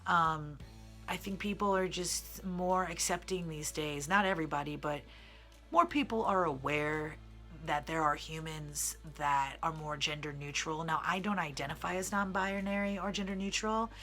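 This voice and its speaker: A man's voice: on the high side, steady tone, moderate pace, pushed and bright, faint music behind. A transgender man, telling personal stories to camera in a candid, digressive way with many fillers. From Midwestern United States. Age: 30s. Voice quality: feminine-sounding voice. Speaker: singer and vlogger. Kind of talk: casual personal storytelling to camera.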